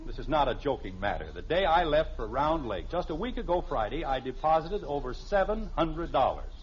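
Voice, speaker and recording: Middle-aged American stubborn radio father, high-pitched for a man, pompous, defensive, muffled old broadcast. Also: big pitch swings, fairly quick. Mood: indignant. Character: stubborn, fussy middle-aged family father, proud of his systems. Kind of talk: 1950s radio sitcom acting. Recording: noisy, muffled early 1950s radio recording.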